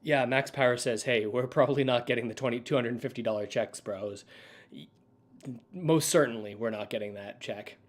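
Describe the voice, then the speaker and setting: A man's voice: medium pitch, normal speed. A man from Canada hosting a livestream, talking casually and off the cuff to viewers. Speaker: livestream host. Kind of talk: casual unscripted livestream commentary.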